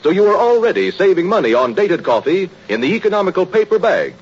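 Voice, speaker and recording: A man's voice: high-pitched, very animated, fast, slightly rough. A man reading a scripted 1930s radio commercial in an emphatic, declamatory way. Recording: muffled vintage radio recording.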